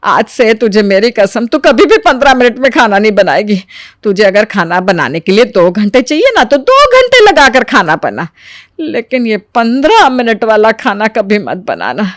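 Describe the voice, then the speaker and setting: A woman's voice: a little high, dry recording, clean studio sound. A woman voicing a scheming, dramatic older mother-in-law in a cartoon story, theatrical and falsely sweet. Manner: theatrical, exaggerated. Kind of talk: animated Hindi moral story voice acting.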